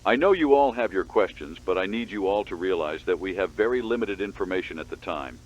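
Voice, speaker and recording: Man as deep-voiced official press spokesman. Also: lively intonation, a little fast, dull audio. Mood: guarded, official. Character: apologetic, guarded male government press spokesman. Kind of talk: press briefing.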